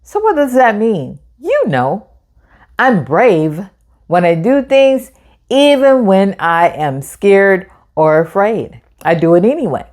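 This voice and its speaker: A woman's voice: mid-range voice, very animated, slow pace, darkish tone. A woman hosting a children's storytime, talking like a teacher and encouraging young listeners with direct questions. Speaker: host of children's read-aloud storytime.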